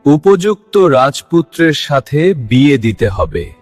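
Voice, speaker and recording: A man's voice: on the high side, very animated, slow pace, darkish tone, no room sound, soft background music. A man voicing earnest royals and courtiers in a dramatic fairy-tale cartoon. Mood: stately.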